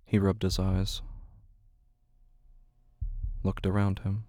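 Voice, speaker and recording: A man narrating a scripted horror story in short, measured phrases with hushed suspense. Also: monotone, dry recording, studio quality. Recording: noisy track with low rumble.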